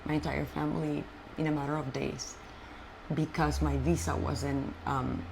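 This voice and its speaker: A woman from Colombia, a native Spanish speaker, telling her life story as an interview guest in an animated, halting way with frequent restarts. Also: low voice, gravelly, noisy background. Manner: animated, halting, many fillers and restarts, frank. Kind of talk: personal storytelling in conversation.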